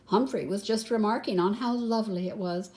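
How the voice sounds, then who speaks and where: An older woman reading a picture book aloud as a grandmother, expressive and unhurried, with some hesitation. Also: mid-range voice. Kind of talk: storybook read-aloud with soft asides.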